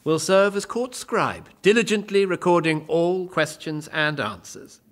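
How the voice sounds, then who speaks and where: A man playing a scheming, authoritarian bishop in an audio drama, imperious and smoothly formal. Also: fairly high pitch, lively intonation, a little slow. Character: scheming, authoritarian male bishop and trial judge.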